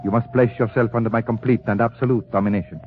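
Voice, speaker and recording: An actor playing a grave, sinister professor with formal, measured, persuasive speech in an old radio drama. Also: male voice, medium pitch, fairly even intonation, lightly acted. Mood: intense, commanding. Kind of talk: 1940s radio acting. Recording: tinny, noisy old radio broadcast.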